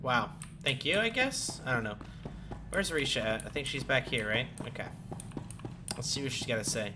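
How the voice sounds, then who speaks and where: A man's voice: medium pitch, lively intonation, moderate pace. A man doing informal, playful gameplay commentary, chatting to himself and his viewers. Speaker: game streamer. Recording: loud background game noise.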